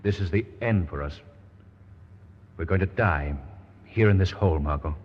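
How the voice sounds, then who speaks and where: A calm hero from an old radio drama, lowish voice, lively, dull hissy recording. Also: male voice, normal speed, breathy edge, long reverb tail. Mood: solemn. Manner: steady, reassuring. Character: calm, protective male crime-fighter hero. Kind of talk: 1940s radio acting.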